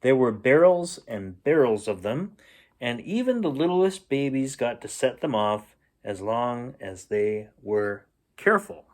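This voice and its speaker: A man reading a children's storybook aloud in an expressive, playful storytelling manner. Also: medium pitch, measured pace. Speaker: bedtime story reader.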